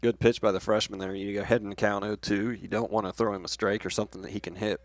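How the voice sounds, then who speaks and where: A man's voice: fairly low pitch, fairly even intonation, fast. An American man giving casual live analysis as color commentator on a baseball broadcast.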